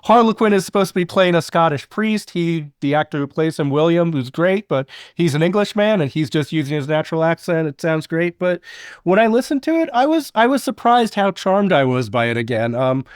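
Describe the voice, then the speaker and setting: A man's voice: on the high side, animated, fairly quick, clear, resonant tone, no echo, studio quality. A man casually chatting as he introduces an audio drama as its host. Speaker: podcast host, drama producer.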